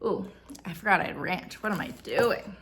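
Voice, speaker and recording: A woman's voice: fairly low pitch, expressive, normal speed, a bit bright, slight background noise. Chatty woman in her thirties, slightly stuffy. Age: 30s. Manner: chatty, bubbly, self-deprecating asides. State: slightly congested. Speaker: vlogger. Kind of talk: casual vlog talk to camera. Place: out and about, car and home.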